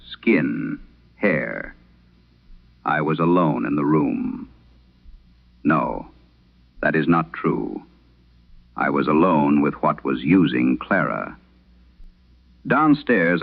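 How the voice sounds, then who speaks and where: A man playing an aging, haunted priest, telling a solemn horror radio monologue with measured, brooding, confessional delivery. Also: sits low, gravelly, narrow, tinny audio, heavily acted. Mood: grim, dread-filled.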